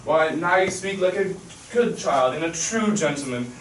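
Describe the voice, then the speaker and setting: A man's voice: on the high side, lively intonation, normal speed, slight background noise, close to an everyday voice. A man acting a scheming king, speaking declamatory stage verse, recorded from far off in an echoey hall. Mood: commanding. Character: scheming king, smooth and commanding.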